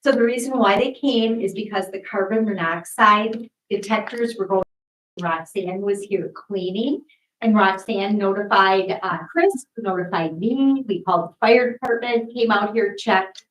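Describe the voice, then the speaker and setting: A woman's voice: mid-range voice, a little slow. A woman, explaining a practical update to a congregation in a church sanctuary. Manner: matter-of-fact, explanatory. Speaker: church member giving an update. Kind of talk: announcement to a congregation. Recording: roomy church sanctuary from a distance.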